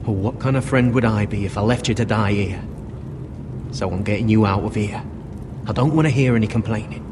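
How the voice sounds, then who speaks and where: A man's voice: lowish voice, a little fast, noisy background, natural voice. A man playing a loyal young watchman in a dramatic video game, frank and plain-spoken. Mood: earnest. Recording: music behind the voice.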